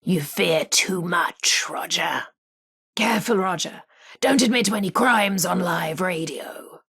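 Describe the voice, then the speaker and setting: A vintage radio hostess from a video game, genteel and theatrical. Her voice is breathy and husky with a creaky edge, at a medium pitch. She presents at an unhurried pace, with a flirty, teasing lilt. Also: fairly bright tone, full character voice. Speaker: radio host. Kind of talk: old-time radio presenting with playful banter.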